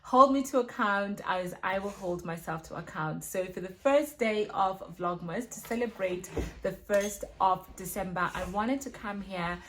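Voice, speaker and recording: A woman's voice: mid-range voice, a little slow. A woman from Zimbabwe, a mother and women's ministry leader, chatting casually through a self-filmed Q&A, recorded on her phone, with many fillers and tag questions. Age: adult with children.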